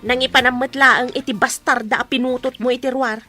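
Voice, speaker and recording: A woman's voice: sits high, fairly even intonation, brisk, sharp tone, faint music behind. A woman playing a hard-hearted, bitter wife in a radio drama, scornful and complaining.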